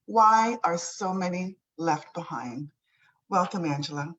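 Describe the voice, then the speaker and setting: A woman's voice: fairly low pitch, unhurried, a bit bright. A woman hosting an event, formally introducing the next speaker. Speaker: event host. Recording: dull lo-fi audio.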